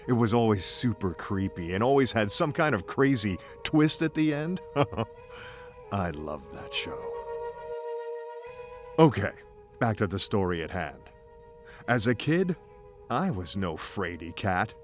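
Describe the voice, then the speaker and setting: A man's voice: on the low side, very animated, slow, pauses often. A man narrating a scripted first-person story in a theatrical, folksy, playful manner. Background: music bed.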